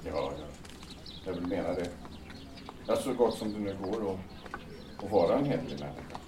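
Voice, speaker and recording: Male actor as earnest troubled man, low voice, hesitant delivery, noisy distant recording. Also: animated. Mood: sad, subdued. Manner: hesitant, repeats himself. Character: troubled, sad, earnest man recovering from despair. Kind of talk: naturalistic audio drama acting. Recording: echoey, distant, noisy with birds.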